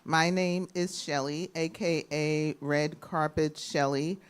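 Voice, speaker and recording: A woman's voice: low-pitched, flat delivery, slow, a little hiss or hum. A woman from the US Virgin Islands and New York, a radio host talking informally and with good humour on a panel. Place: live panel event with an audience.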